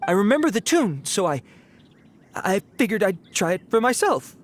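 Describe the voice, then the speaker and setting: A man's voice: sits high, sing-song, moderate pace. A man voicing a cowardly young bandit in a video game, nervous and stammering, pleading and sheepish. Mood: remorseful, anxious. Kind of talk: dramatic video game voice acting.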